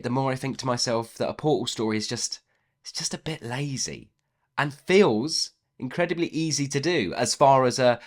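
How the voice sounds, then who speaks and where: A man giving a casual book review in a chatty, opinionated way, repeating words for emphasis. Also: mid-range voice.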